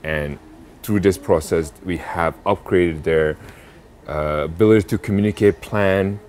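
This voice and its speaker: A young adult man from South Korea answering interview questions about impact investing, pausing often with fillers and restarts. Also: deep voice, a little mellow. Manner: halting, many fillers and restarts.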